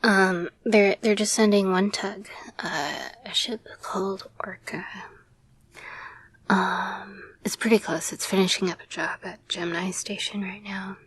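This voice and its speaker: Breathy, whispering affectionate girlfriend played by a woman. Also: medium pitch, steady tone, measured pace, no echo. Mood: calm, informative. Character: affectionate girlfriend, playful spacefaring caretaker of a recovering partner. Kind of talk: intimate whispered roleplay audio, ASMR-like.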